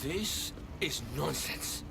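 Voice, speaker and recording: High, indignant greedy merchant. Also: male voice, animated, very slow, creaky, recorded from a distance, full character voice. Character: greedy, indignant male rich merchant caught stealing. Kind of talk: video game cutscene acting.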